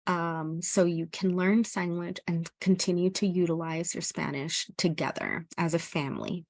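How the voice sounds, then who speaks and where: A woman's voice: lowish voice, fairly even intonation, moderate pace, darkish tone, dry recording. A middle-aged woman from El Salvador giving an informal educational presentation as a parent, speaking in a hesitant, earnest way.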